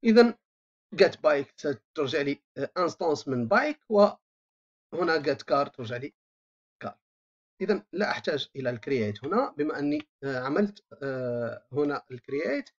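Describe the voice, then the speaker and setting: A coding teacher talks through a screen recording, pausing often on long filler sounds. The pitch swings up and down a great deal. The voice comes through a dull, lo-fi microphone. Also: sing-song. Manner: hesitant, frequent drawn-out filler sounds, thinking aloud while typing. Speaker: programming instructor. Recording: dull lo-fi voice-over mic.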